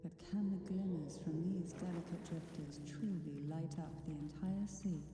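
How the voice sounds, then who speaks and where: An actress playing a poised, mysterious noblewoman in a video game, gentle and solemn, with formal, measured phrasing. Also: fairly low pitch, fairly even intonation, natural voice. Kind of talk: dramatic video game voice acting. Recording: heavy echo, long reverb, music. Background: game music.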